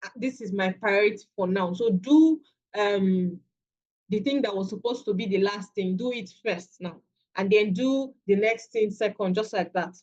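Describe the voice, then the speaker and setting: A woman's voice: mid-range voice, normal speed, darkish tone, muffled recording. Nigerian woman teaching a class. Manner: conversational, repeats and restarts phrases. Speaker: virtual assistant trainer. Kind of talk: masterclass lecture.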